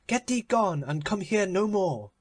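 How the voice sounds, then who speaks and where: A man's voice: high voice, sing-song, measured pace, pitched above the performer's own voice, full character voice. A man voicing a miserly, defiant female spirit with indignant, old-fashioned storybook speech. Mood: anguished, angry.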